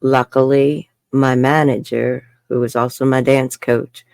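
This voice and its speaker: A woman's voice: rumbling low, little pitch movement, measured pace, darkish tone, no room sound, clean studio sound. A woman, telling her life story frankly as an interview guest and laughing often. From New York. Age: 70s. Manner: frank and chatty, laughs often, says "bloody". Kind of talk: candid personal storytelling in an interview.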